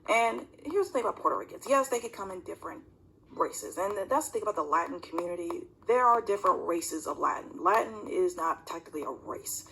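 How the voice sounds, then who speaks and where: A video commentator talking casually and bluntly in a rambling, self-interrupting way, with a husky, creaky voice, recorded on a phone. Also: mid-range voice, animated, a little fast, bright, forceful tone, poor audio.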